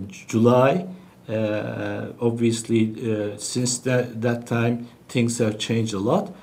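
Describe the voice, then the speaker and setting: A man presenting financial results as a bank executive, speaking in a halting, hesitant way with searching pauses. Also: mid-range voice, a little mellow, slight background noise. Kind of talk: business presentation, unscripted financial briefing.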